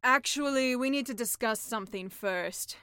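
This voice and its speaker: A woman's voice: fairly high pitch, normal speed, pushed and bright, clear, resonant tone, no room sound, clean studio sound. A woman voicing a brash, worldly sea witch with a blunt, matter-of-fact, slightly sly manner in a comic fairy-tale audiobook. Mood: frank.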